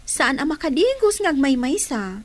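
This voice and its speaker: An industrious aunt acted by a woman in an audio drama. The voice is high and moves about a lot, with an Ilocano accent. The manner is plain-spoken. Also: very animated, moderate pace. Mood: earnest. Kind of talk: radio-style drama dialogue.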